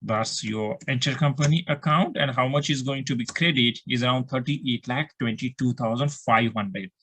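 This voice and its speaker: A man's voice: mid-range voice, moderate pace, a bit bright, husky. A man with an Indian accent giving a step-by-step tutorial as an accounting instructor, asking questions and then answering them, often repeating himself.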